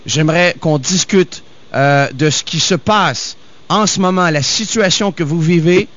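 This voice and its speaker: Male interviewer, halting and hesitant; slightly high voice, dull lo-fi sound. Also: fairly bright tone. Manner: hesitant, many fillers and restarts. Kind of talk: interview questions.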